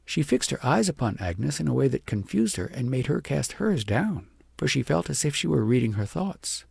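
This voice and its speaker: A man narrating an audiobook in an expressive storybook style, with dramatic, deliberate phrasing. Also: medium pitch, gravelly, breathy edge, no echo, studio quality.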